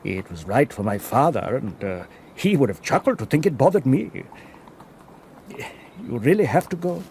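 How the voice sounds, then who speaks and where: An actor playing a gracious, troubled ruler who speaks gently and hesitantly in a radio drama. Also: male voice, medium pitch, animated, moderate pace, slight background noise, close to an everyday voice. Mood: wistful. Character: older male Indian ruler, troubled, gracious.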